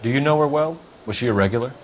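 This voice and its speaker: An actor playing a stern, principled 1940s American police detective in a video game, with a clipped, insistent, businesslike manner. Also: male voice, mid-range voice, quick pace, a little hiss or hum, natural voice. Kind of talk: scripted dramatic game acting, police questioning.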